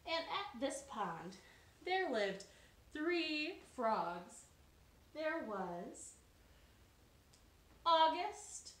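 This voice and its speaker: A young adult woman, a children's storyteller, giving a storytime for young children. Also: a little high. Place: living room, indoors. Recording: roomy living-room sound.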